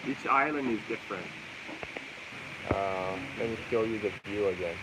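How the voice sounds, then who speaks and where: Guy livestreaming from a cafe by the rice fields, loud voice, lots of outdoor noise. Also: on the low side, moderate pace. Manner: loud voice, chatty and easygoing. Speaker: livestream host. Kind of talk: casual livestream chat. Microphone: handheld phone camera.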